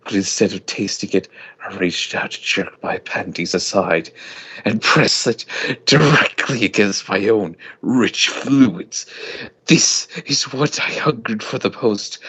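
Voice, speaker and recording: A man reading fan fiction as an amateur, with a husky, often breathy voice and a hammy, halting delivery. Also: fairly low pitch, fairly bright tone, low-quality recording. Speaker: story reader.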